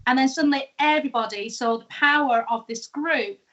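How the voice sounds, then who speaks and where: A woman's voice: high voice, fairly quick, fairly bright tone, lo-fi sound. A woman from the UK with a British accent, a guest telling her story in a casual, upbeat interview over a video call. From United Kingdom. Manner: chatty and upbeat, laughs easily. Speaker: guest, entrepreneur. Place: live video show.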